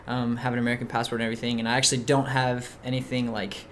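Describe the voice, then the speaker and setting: A man's voice: medium pitch, rapid-fire, slight background noise. A young man raised in Mexico City, talking casually as a podcast guest, with lots of fillers like "um" and "like". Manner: relaxed, many fillers like "um" and "like". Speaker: podcast guest, soccer player. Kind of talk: casual interview chat.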